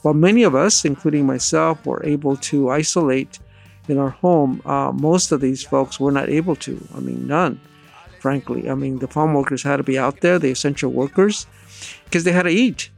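Creaky-voiced man in an interview. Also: mid-range voice, normal speed, soft background music. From Mixteco community of Mexico. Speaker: radio network director, interview guest. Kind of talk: unscripted interview talk.

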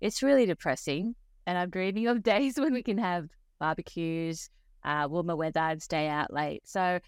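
A woman's voice: on the low side, moderate pace, smooth, no echo, clean studio sound. A woman hosting a pharmacy podcast, upbeat and bubbly, laughing often with her guest. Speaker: podcast host. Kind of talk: podcast interview.

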